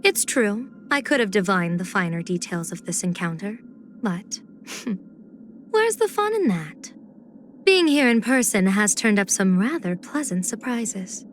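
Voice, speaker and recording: A woman's voice: medium pitch, very animated, measured pace. Game role: playful female general-diviner, medium register, assured and teasing, theatrical delivery, light background noise. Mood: teasing, breezy. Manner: teasing, theatrical, poised phrasing. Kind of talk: video game character voice lines.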